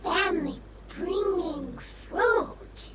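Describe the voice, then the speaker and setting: A woman voicing a small, simple, earnest creature in a fantasy game, speaking in halting broken fragments. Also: extremely high pitch, echoey room, far from the mic, heavy background noise, heavily acted. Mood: solemn. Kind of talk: video game creature acting. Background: loud music.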